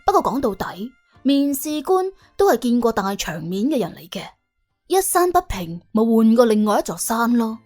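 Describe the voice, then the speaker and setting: A woman with a Cantonese accent narrating a comic audiobook in a colloquial, wry and animated way. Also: a little high, moderate pace, faint music behind.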